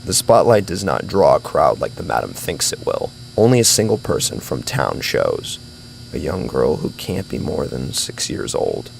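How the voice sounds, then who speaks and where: Deep, gravelly male horror narrator. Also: sing-song, normal speed, slight background noise, low-quality recording. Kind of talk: dramatic scripted horror narration.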